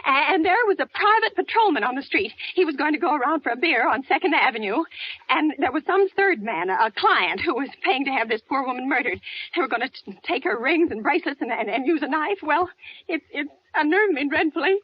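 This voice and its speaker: High, frantic, imperious American wife. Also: female voice, expressive, brisk, dry recording. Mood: agitated, nervous. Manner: agitated, insistent, fussy, stammering. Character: nervous, imperious, demanding invalid wife. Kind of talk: vintage American radio suspense acting. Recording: crackly vintage radio recording, muffled.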